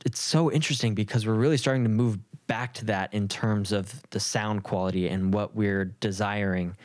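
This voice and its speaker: A man's voice: fairly low pitch, fairly even intonation, moderate pace, darkish tone, dry recording, clean studio sound. A man talking casually and reflectively in an informal, rambling, slangy way. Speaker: music producer.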